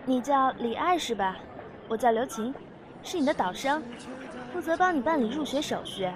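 A woman acting a brisk, outgoing senior student in an audio drama, chatty and breezy. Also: high-pitched, lively intonation, crowd noise, low-quality recording. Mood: helpful.

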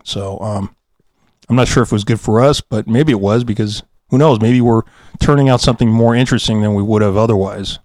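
A man's voice: fairly low pitch, a little mellow, no echo, studio quality. A man hosting a casual, rambling podcast after-show, hesitantly searching for words and poking fun at himself. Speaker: podcast host, audio drama producer.

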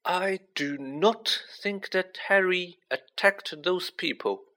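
A man voicing a gentle, wise old wizard headmaster in a storybook, speaking softly, with measured deliberation. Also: high voice, pitched above the performer's own voice, exaggerated voice. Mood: firm. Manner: measured, soft-spoken, deliberate.

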